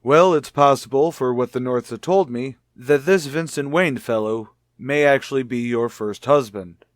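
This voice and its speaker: Male actor, police detective, calm, formal, persistent, medium-pitched, radio crime drama, dry close sound. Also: normal speed, dry recording, lightly acted. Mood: politely probing. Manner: formal, methodical, politely insistent. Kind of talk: old-time radio detective drama acting.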